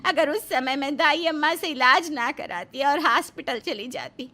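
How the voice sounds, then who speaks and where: Casting: actress as cartoon wife, very high voice, defiant, aggrieved, assertive drama. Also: female voice, moderate pace, pushed and bright. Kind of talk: Hindi moral-story cartoon dialogue.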